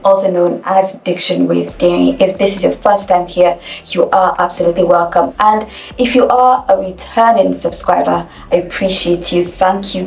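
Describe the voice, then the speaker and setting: A Nigerian woman with a Nigerian accent, teaching as an elocution coach, speaking deliberately and repeating key phrases for emphasis. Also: on the low side, vocal fry, a little mellow, echoey room, far from the mic, slight background noise, low-quality recording. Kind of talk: instructional video talk.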